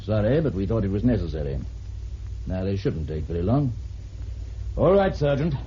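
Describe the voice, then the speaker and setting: An actor playing a calm police inspector in a vintage radio drama, measured, polite and insistent. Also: male voice, lowish voice. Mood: probing, controlled. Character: calm, probing male Scotland Yard police inspector. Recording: muffled, noisy vintage radio recording.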